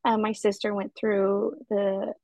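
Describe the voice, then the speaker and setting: A young woman speaks as a podcast guest, easygoing and chatty as she recounts growing up between Japan and Washington State. Her mid-pitched voice carries a touch of gravelly fry and sits dry and close on the mic. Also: measured pace, no echo. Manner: relaxed, friendly storytelling with light laughs. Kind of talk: casual podcast interview.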